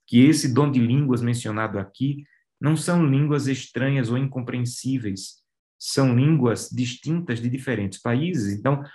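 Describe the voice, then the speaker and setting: A man's voice: mid-range voice, darkish tone. A man preaching a sermon to listeners, pressing his points with rising emphasis. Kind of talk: radio sermon.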